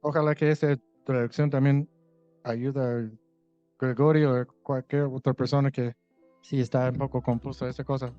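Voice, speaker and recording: A man's voice: medium pitch, level tone, moderate pace, warm tone, no echo, dull audio, faint music behind. A man leading a Bible translation workshop, explaining patiently, restarting words and asking the group for feedback. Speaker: translation consultant leading a session.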